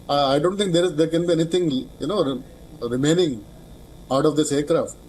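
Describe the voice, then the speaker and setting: Male expert guest for news interview, Indian accent, fairly high register, halting and repetitive phrasing. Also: a little mellow, slight background noise, rough recording. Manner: hesitant, halting, with repeated words.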